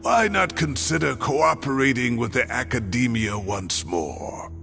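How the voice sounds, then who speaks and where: An earnest, gravelly old professor. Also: male voice, mid-range voice, expressive, measured pace, fairly bright tone, soft background music. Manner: earnest, pleading. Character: older male academic professor, remorseful.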